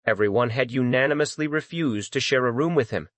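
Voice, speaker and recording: A man narrates a scripted fantasy story in dramatic audiobook style. His voice sits at a medium pitch. The sound is dry, with no room echo. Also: a little fast, fairly bright tone.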